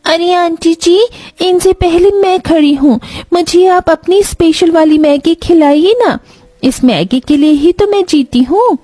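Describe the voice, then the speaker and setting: A woman's voice: very high voice, unhurried, dark tone, voice pushed up. A woman voicing an eager young female customer in a cartoon story, pleading and gushing.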